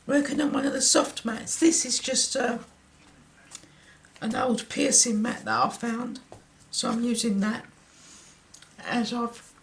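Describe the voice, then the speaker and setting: A woman with a croaky, worn voice and a lilt guides viewers through a craft project. She speaks unhurriedly, mumbling and slipping into whispers while her hands work. A faint hiss and small clicks sit under her voice. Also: fairly high pitch. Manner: slow, half-murmured commentary while working, often dropping to a whisper. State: croaky voice from illness. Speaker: card-making tutor.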